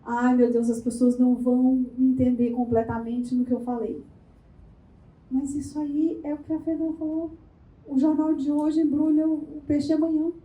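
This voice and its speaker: Animated high-voiced woman giving a workshop. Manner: animated, playful, asks the room rapid questions. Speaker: workshop speaker. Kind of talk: lively workshop talk. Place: event room before a live audience. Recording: echoey room.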